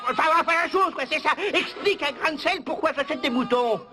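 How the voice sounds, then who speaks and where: A man voicing a greedy, childish, blustering lord in an animated cartoon, excitable and quick to shout and cackle. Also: very high voice. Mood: gleeful. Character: greedy, childish, tantrum-prone lord hoarding sheep. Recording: music under the voice, VHS rip.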